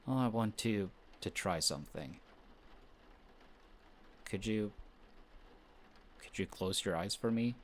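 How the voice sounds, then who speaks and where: A man's voice: lowish voice, a little hiss or hum. A man playing a flustered, defensive young best friend who is secretly smitten, in an intimate romantic audio roleplay. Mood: soft, apologetic, shy. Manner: defensive, bickering, halting when shy.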